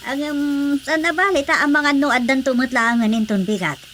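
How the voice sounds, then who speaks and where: A fussing, practical village mother in an Ilocano radio drama, played by a woman. Her voice is on the high side, with faint noise behind it. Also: normal speed, a bit bright. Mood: explaining.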